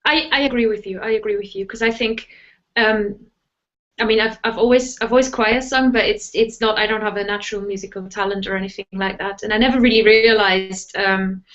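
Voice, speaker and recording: A woman's voice: medium pitch, a bit bright, dull audio. A woman with a German accent, a native German speaker, hosting a live interview and asking musing questions with many fillers. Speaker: host.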